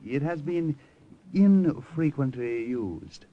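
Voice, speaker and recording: An actor playing a courtly, gallant Siamese colonel in an old-time radio drama, speaking in a polished, formal, measured way. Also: male voice, on the high side, expressive. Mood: smooth, evasive. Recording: dull vintage radio recording.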